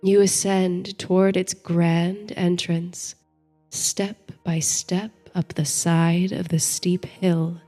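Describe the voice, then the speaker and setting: Female sleep-story narrator: soothing and dreamy, very unhurried, lowish mellow voice, faint music underneath. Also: level tone. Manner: soothing, measured, dreamy delivery. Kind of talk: bedtime story narration, guided meditation. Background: soft music.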